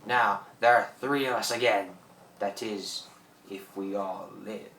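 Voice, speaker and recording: An actor playing a boastful, menacing old pirate in a theatrical radio play. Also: male voice, lowish voice, steady tone, measured pace, fairly bright tone, slight background noise. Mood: gloating. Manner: theatrical, gloating, cackling. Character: boastful old French pirate, greedy and menacing, newly revived. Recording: roomy, distant microphone.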